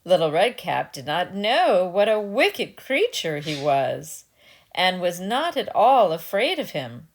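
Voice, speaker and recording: A woman's voice: fairly low pitch, sing-song, slow. A woman storyteller giving a dramatic storybook narration with casual asides.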